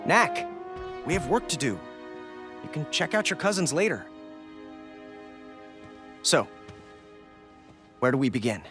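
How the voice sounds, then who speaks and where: An actor playing an eager, wisecracking teenage boy in an animated adventure game, casual and energetic. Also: on the high side, a little slow, long pauses, over music.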